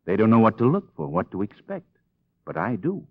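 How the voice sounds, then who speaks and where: A man's voice: on the low side, sing-song, brisk. A man playing a bold, commanding, protective detective hero in the dramatic style of 1940s radio, on a muffled old recording. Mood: determined. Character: bold, stubborn male detective hero, protective of his sweetheart.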